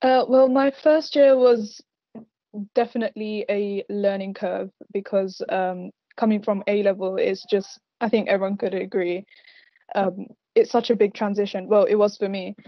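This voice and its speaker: A woman's voice: medium pitch, steady tone, moderate pace, dry recording. A young woman history student on a video call, hesitant, with many "um" and "you know". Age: young adult. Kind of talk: casual chat on video call. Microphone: laptop webcam on video call. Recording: dull, dry webcam audio.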